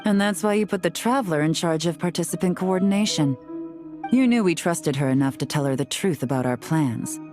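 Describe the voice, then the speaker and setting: An actress playing a sly, self-assured intelligence agent in a video game, with a cool, knowing, deliberate manner. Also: female voice, on the low side, animated, a little mellow, faint music behind, natural voice. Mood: serious, assured.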